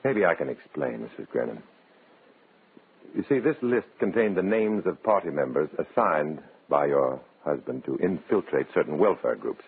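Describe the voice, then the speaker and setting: A hard-boiled undercover man, wry and measured. His low voice moves expressively, in a muffled vintage radio drama recording. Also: lively intonation, slightly rough, exaggerated voice. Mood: conciliatory, uneasy. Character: wry undercover agent posing as loyal communist comrade. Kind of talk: old American radio drama narration and acting.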